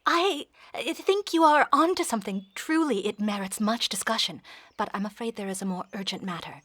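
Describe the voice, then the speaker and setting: A woman's voice: medium pitch, animated, normal speed, a bit bright, no room sound. An actress playing a tactful noblewoman counselor in a fantasy audio drama, with formal, discreet archaic phrasing. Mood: hesitant, urgent.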